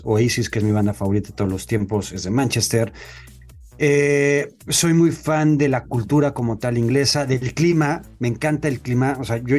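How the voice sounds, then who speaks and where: A man's voice: mid-range voice, darkish tone. A young Mexican man co-hosting a sports podcast, talking in a slangy, profane, opinionated way. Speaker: podcast co-host. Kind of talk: casual sports chat.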